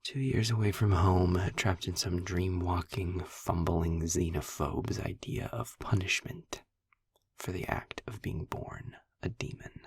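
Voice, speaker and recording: Casting: male actor as a weary, sarcastic captive demon for audio roleplay; low, gravel-edged voice, dry eloquence, very close and intimate. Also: steady tone, heavily acted. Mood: bitter, somber. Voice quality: husky, breathy, gravelly low voice. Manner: dry, sardonic, articulate, deliberate. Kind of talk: audio roleplay, intimate slow monologue to the listener.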